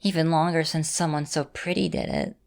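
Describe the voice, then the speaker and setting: Casting: actress as affectionate female vampire mentor for ASMR roleplay, lowish voice, gentle and reassuring with a teasing edge. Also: steady tone, brisk, gravelly. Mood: flustered, teasing. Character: affectionate centuries-old female vampire mistress, encouraging mentor.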